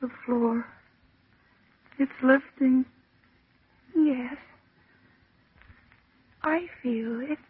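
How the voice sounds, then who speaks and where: A woman acting a frightened young woman trapped in the dark and growing delirious, in a slow, halting 1940s radio horror style. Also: sits high, husky, narrow, tinny audio, full character voice. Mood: dreamy, resigned. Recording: thin band-limited old radio recording.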